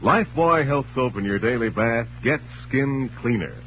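American man, old time radio announcer, polished and emphatic, mid pitch, muffled recording. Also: animated, moderate pace, slight background noise. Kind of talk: 1940s scripted radio narration and sponsor pitch.

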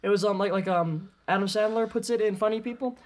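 A high-voiced man chats as a podcast co-host. His delivery is loose and jokey, with an irreverent, self-mocking streak. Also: fast. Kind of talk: casual joking chat.

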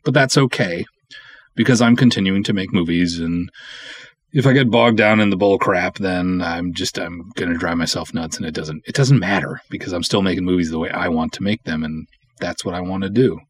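Blunt man with a low, husky voice. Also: a little fast. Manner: blunt, opinionated, emphatic, acts out imagined dialogue. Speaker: podcast host, independent film writer-director. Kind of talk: candid solo podcast monologue giving advice.

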